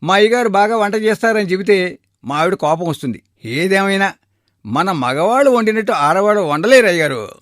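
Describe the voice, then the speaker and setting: Guy doing a servile shop helper in a cartoon story, high voice, respectful and flattering. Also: brisk, no room sound, clean studio sound. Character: deferential male shop helper, flattering and chatty.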